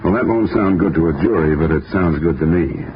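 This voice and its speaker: A dull vintage radio recording with music carries an actor as a hard-boiled investigator. His lowish voice is dry and wisecracking, in the manner of 1950s radio detective drama. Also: fairly quick, noisy background, lightly acted. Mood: dry flirting. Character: wry, hard-boiled male insurance investigator. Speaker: investigator narrating his case.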